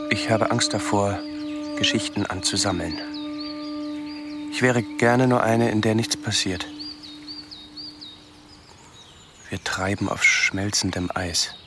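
A withdrawn young man, played by an actor, speaks in a radio play. His voice is low and hesitant, in sparse short sentences, with clear noise and clicks in the background. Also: close to an everyday voice. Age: young adult. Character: withdrawn, fearful young recluse. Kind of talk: German radio drama acting, narrating memories.

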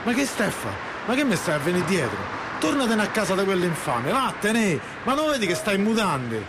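A bitter young Roman man in a radio drama. His slangy, heated speech sits a little high and swings widely in pitch, scornful in temper, with clear ambient noise behind him. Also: very animated, brisk. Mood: angry, agitated. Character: young Roman lover, Orpheus, bitter, jealous, streetwise. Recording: noisy outdoor-like ambience behind the voice.

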